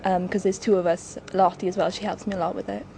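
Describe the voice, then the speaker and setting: A woman's voice: mid-range voice, level tone, fast, creaky, warm tone, low-quality recording. A young woman chatting casually as she answers interview questions about her backstage job. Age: young adult. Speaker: assistant stage manager being interviewed. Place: backstage at a theatre.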